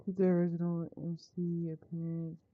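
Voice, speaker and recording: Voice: medium pitch, completely flat delivery, moderate pace, dry recording. An androgynous-sounding American game streamer speaks in a mid-range voice, informal and unfiltered. The talk is casual, muttered and sweary, aimed at live viewers. The sound is dry and close but a little dull and lo-fi. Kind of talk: casual live game stream chat with read-aloud visual novel text.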